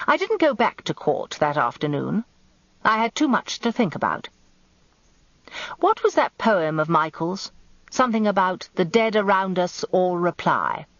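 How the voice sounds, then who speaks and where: A woman's voice: fairly low pitch, sing-song, measured pace, dry recording. An actress with an English accent playing an observant, wry lawyer's wife turned amateur detective, confiding her story in a radio drama. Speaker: narrator. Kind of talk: first-person radio drama narration. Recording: dull lo-fi radio recording.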